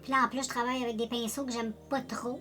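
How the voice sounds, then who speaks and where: A woman's voice: a little high, flat delivery, fast, bright, forceful tone, soft background music. A woman with a Quebec French accent chatting casually through a makeup tutorial, rambling and joking at her own expense while speaking to her viewers. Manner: chatty, rambling, self-deprecating, talks directly to viewers. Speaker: beauty vlogger.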